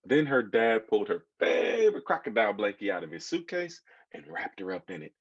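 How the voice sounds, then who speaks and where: An American man reads a story to children with a lively, swooping delivery. His voice is husky and mid-pitched, and he often turns to his listeners with asides. The sound is dry and a little dull. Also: fairly quick, no room sound. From United States. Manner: expressive, engaging listeners with asides. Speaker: children's author reading his book aloud. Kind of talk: animated storytelling to children, with questions.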